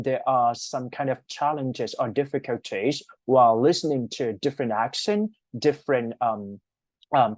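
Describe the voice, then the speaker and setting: A man's voice: medium pitch, no room sound. A man from Thailand with a Thai accent, a native Thai speaker, teaching an English class online and often checking in with short tag questions. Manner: checks understanding with frequent "right?" tags. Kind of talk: online class lecture explaining an exam task.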